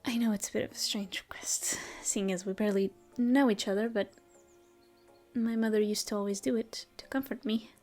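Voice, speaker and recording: A woman voicing a gentle, caring female elf ranger in an audio roleplay, speaking softly and often in a whisper. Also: mid-range voice, fairly even intonation, moderate pace, soft background music, lightly acted. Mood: soothing. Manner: soft, soothing, often whispered. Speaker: voice actress. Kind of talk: audio roleplay, comfort ASMR.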